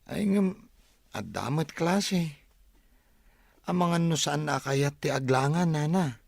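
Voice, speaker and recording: Manangliwliwa, nagaraw ti timekna. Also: male voice, a little high, expressive, slow pace, creaky, no echo, studio quality, exaggerated voice. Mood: concerned. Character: sympathetic man gently consoling an older woman. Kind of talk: Ilocano radio drama acting.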